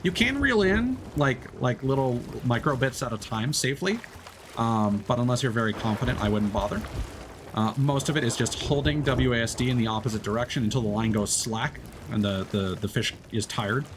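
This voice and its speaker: A man's voice: mid-range voice, lively intonation, brisk. A man streaming a game, chatting casually and explaining fishing tips with lots of fillers and restarts. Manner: rambling, hesitant, many fillers and restarts. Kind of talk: casual gameplay chat. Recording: faint background noise.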